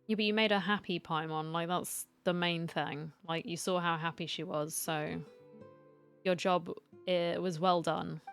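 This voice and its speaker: A woman's voice: fairly low pitch, fairly even intonation, unhurried, creaky, clear, resonant tone, faint music behind. A woman streamer giving casual reaction commentary on a game and reading bits of it aloud, recorded on a close mic.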